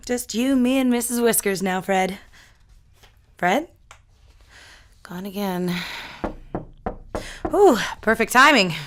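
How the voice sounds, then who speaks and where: A woman's voice: fairly high pitch, expressive, slow, long pauses, a little hiss or hum. An actress playing an easygoing, kindly single woman in an audio drama. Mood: wry.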